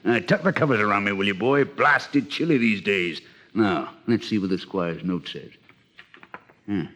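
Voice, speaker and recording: An actor playing a sly, jovial old one-legged pirate in a storybook record, wheedling and chuckling in hearty sailor talk. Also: male voice, on the low side, moderate pace, fairly bright tone. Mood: wheedling, prying. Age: older. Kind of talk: dramatised storybook record acting.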